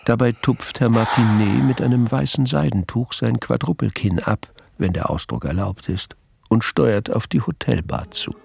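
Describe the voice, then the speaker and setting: An actor narrating a detective radio play as a measured, dryly ironic literary storyteller. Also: male voice, mid-range voice, creaky, very noisy. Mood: wry, measured.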